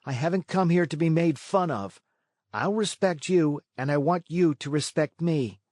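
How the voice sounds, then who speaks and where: A man voicing an eager, naive boy marionette for a storybook, pleading and excitable with a touch of whine. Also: a little high, normal speed, no echo, studio quality, voice pushed up, close to an everyday voice. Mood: indignant.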